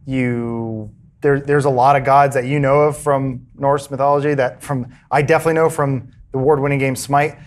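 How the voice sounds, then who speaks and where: A man's voice: medium pitch, steady tone, moderate pace, a little hiss or hum. Casual rambling male podcast host. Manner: rambling, self-interrupting, jokey. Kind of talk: casual chat.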